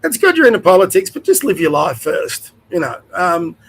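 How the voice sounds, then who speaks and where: A man's voice: sits high, big pitch swings, moderate pace, fairly bright tone, slight background noise. An older man with an Australian accent, hosting a blunt, rambling opinion rant to camera, nasal and sniffly from a cold, swearing and restarting as he goes. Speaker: host.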